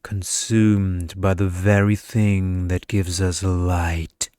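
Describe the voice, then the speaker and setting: A man voicing a boring, seductive male energy vampire in a storybook, with a murmuring, hypnotic monotone. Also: low voice, slow, clear, resonant tone, no echo, studio quality. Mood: murmured, wistful. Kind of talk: comic ASMR romance storybook reading.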